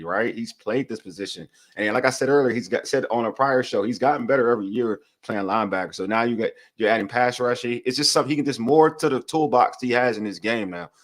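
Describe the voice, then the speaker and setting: A man co-hosting a casual sports talk show, talking in quick bursts with frequent restarts. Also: lowish voice. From Nigeria. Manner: animated, talks through restarts. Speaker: podcast co-host.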